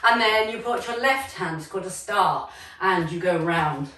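A woman's voice: on the low side, moderate pace, bright, forceful tone, slight background noise. A middle-aged woman leading a children's drama class and story time, encouraging and exclamatory as she speaks directly to young children. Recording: roomy echo, distant microphone, music.

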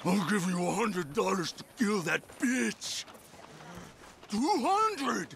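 An actor playing a captured outlaw leader in a western video game, a sly braggart who wheedles and taunts insolently. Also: male voice, sits high, big pitch swings, takes it slowly, vocal fry, fairly bright tone, a little hiss or hum, heavily acted. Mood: sly bargaining. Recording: music behind the voice.

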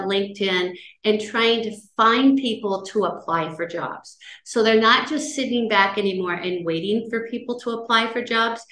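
A middle-aged woman with a loud voice giving a casual career-services talk, chatty and conversational, often checking in with her listeners. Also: mid-range voice. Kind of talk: casual lecture on job searching. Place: office, indoors, on a video call. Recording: roomy video-call audio.

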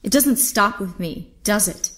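An actress playing a defiant, idealistic young niece in an audio drama, earnest and indignant. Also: female voice, mid-range voice, animated, measured pace, a bit bright. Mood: accusing.

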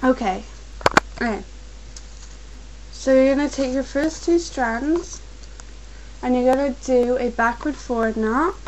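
Young woman, fairly high voice, slight cold, patient step-by-step instructions, faint hiss behind. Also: poor audio. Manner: patient, repetitive instructions, slow and careful at times. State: getting a cold. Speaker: crafts tutorial maker. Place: at a home desk with a computer.

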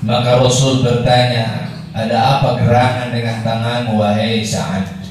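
A middle-aged man with an Indonesian accent giving a formal, measured and emphatic Friday sermon with Arabic recitation. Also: medium pitch, little pitch movement, darkish tone. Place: indoor mosque prayer hall. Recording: very echoey hall, distant, faint noise.

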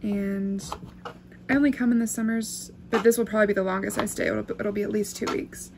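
A woman's voice: medium pitch, level tone, brisk, gravelly, noisy background. A young woman vlogging casually in a small wooden cabin, chatty and self-reflective, often laughing as she talks. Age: young adult. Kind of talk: casual vlog chat.

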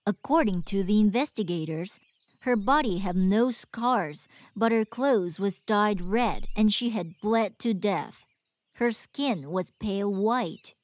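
A woman voicing a young woman in a cartoon, calmly recounting a grim news story to a friend. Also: mid-range voice, no echo, close to an everyday voice. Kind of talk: cartoon dialogue.

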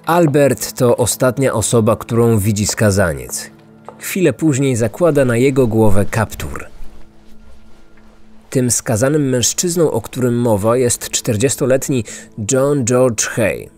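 A man with a mid-pitched voice narrates true crime from a script. He is a measured storyteller and podcast host, with faint music underneath. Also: darkish tone.

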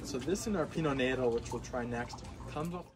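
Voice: mid-range voice, brisk, noisy background. A winery tasting host explaining the wines in an upbeat, informative way.

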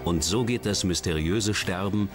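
Low-pitched male documentary narrator over music. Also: moderate pace. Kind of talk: scripted narration. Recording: loud music bed under voice.

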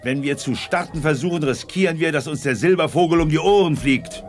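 An actor playing a blustering, trigger-happy general in a science-fiction audio drama, brusque and indignant. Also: male voice, a little high, fairly quick, soft background music, close to an everyday voice. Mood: grumbling.

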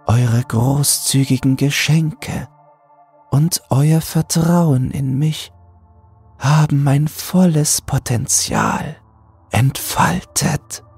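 A man voicing an eerie, benevolent, inhuman female being with slow, luring speech in a horror story. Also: medium pitch, gravelly, a little mellow, slightly rough, faint music behind, pitched above the performer's own voice, full character voice. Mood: serene, inviting.

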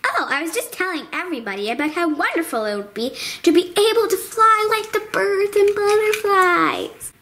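Super high girly puppet host for kids, swoopy and excited, bit of noise. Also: moderate pace, full character voice. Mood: eager, explaining. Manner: exaggerated, singsong, enthusiastic. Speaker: children's puppet show host. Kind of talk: scripted children's puppet show.

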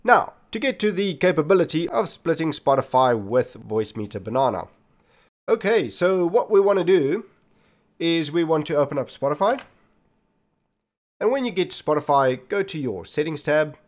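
A man's voice: on the high side, sing-song, normal speed. A man hosting a tech tutorial, giving step-by-step instructions straight to viewers as he demonstrates on his computer. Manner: instructional, addresses viewers directly. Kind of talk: scripted-feeling screen tutorial narration, step by step. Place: indoors at a desktop computer, voice recorded while demonstrating. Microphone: desktop computer microphone.

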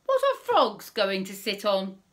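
A woman voicing a curious male dog in a picture-book story, asking drawn-out, wide-eyed questions. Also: medium pitch, wildly swooping pitch.

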